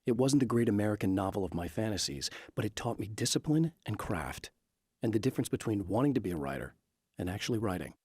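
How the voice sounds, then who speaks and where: An actor playing an earnest, serious writer turned fighter against darkness in a video game, speaking in a measured way. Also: male voice, on the low side, expressive, darkish tone, husky, dry recording, clean studio sound. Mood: reflective. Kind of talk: video game acting, dialogue and reflective monologue.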